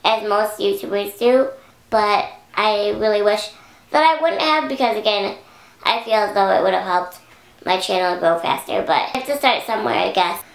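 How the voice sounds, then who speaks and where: A young woman vlogging to camera at home, hoarse and raspy from singing, chatting casually with lots of fillers. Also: medium pitch, normal speed, reverberant, poor audio. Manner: chatty, rambling with many "like"s. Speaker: YouTuber. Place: indoor room at home. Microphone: camera close up.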